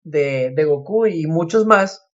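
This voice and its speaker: A man's voice: on the high side, expressive, takes it slowly. A young man co-hosting a casual podcast chat about anime, recorded with dull, lo-fi sound. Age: young adult. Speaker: podcast co-host.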